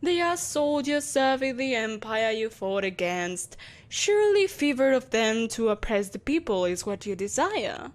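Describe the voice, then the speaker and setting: A woman acts out an imperious, soulless empress in a scripted audio drama. Her voice sits fairly high and sweeps widely in pitch. She is possessive and menacing, with a haughty, theatrical delivery. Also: big pitch swings. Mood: stern, irritated. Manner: haughty, theatrical, sighing exasperation, deliberate menace. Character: vengeful, possessive evil empress, soulless, imperious, coaxing a captive. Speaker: voice actor. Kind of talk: scripted audio roleplay acting.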